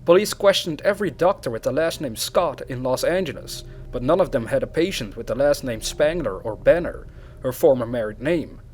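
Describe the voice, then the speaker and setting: A man's voice: medium pitch, animated, fairly quick, darkish tone. A man narrating true crime as host of the series, reading aloud in a storytelling format. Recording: music behind the voice. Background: background music.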